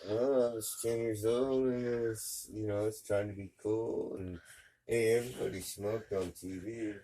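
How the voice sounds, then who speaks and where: A man in his sixties with a rough, worn voice, talking slowly and easily in a casual chat at home. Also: lowish voice, rough recording. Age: 60s. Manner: slow, relaxed storytelling with drawn-out fillers.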